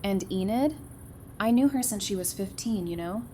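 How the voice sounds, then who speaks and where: A woman's voice: mid-range voice, lively intonation, moderate pace, warm tone, lightly acted. A woman playing a grieving, devoted partner and mother in an audio drama, on a noisy recording. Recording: noisy home recording, background hiss.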